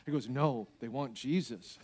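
A man preaching as a pastor in an animated, conversational way, repeating phrases for emphasis and telling humorous personal stories. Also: medium pitch, moderate pace, slight background noise. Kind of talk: sermon with personal anecdotes and acted-out dialogue.

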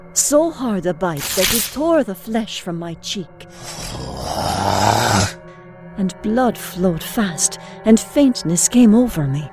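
A woman playing a wronged noble wife who tells her own tale as dramatic narrator, in archaic storybook prose. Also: lowish voice. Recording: music behind.

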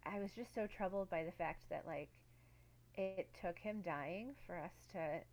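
A woman's voice: lowish voice, measured pace, darkish tone, slight background noise, low-quality recording. A woman co-hosting a casual podcast, talking reflectively with many "like" and "um" fillers. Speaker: podcast co-host.

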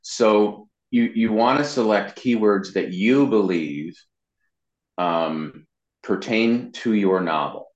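A man's voice: on the low side, takes it slowly. A man giving casual explanations as an interview guest, often repeating words as he goes. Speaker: interview guest, web marketing consultant. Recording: roomy echo, dull muffled sound.

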